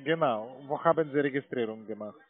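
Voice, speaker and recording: A male telemarketer with a foreign accent. He speaks in broken grammar at a middling pitch, through a narrow phone line. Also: a little fast. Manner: broken, non-native grammar.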